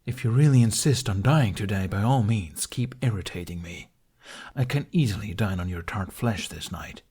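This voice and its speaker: Male reader as male dragon, dry-witted, deadpan, exasperated, argumentative, audiobook storytelling. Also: fairly low pitch, normal speed, slightly rough. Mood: dry, threatening.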